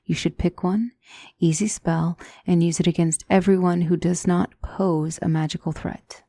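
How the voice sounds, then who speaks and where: A woman playing a gentle demonic tutor in a scripted ASMR roleplay, speaking softly and deliberately as a calm battle mentor. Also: lowish voice, no echo. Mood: calm, instructive. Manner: measured, deliberate, soft-spoken, instructive.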